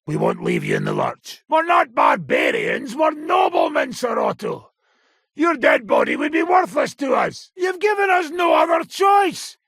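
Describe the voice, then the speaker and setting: An actor playing a gruff, middle-aged, foul-mouthed mercenary nobleman in a video game, blunt and cocky, with a raspy, gravelly voice. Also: very high-pitched, wildly swooping pitch, a little slow, a bit bright, no room sound, shouting, studio quality, some chatter behind, heavily acted. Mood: furious shouting. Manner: blunt, swaggering, earthy swearing. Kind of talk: video game character acting. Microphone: close, dry studio microphone.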